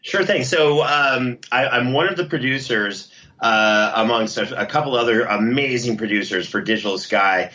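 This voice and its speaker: A man's voice: mid-range voice, normal speed, sharp tone. An enthusiastic, effusive man talking casually as an interview guest, promoting his work as a producer. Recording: slightly dull, lo-fi line.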